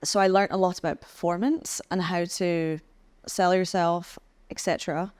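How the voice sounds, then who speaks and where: A woman from the UK, a podcast guest, speaking thoughtfully with frequent pauses and restarts. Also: on the low side, fairly even intonation, darkish tone, no echo. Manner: thoughtful, hesitant with many ums and restarts. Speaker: podcast guest, head of communications. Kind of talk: casual interview chat.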